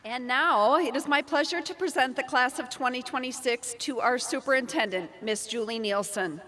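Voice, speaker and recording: Formal woman principal, slightly high voice. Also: pushed and bright, a faint crowd, slight background noise. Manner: formal, measured. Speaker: school principal. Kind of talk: formal ceremony announcement. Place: large hall.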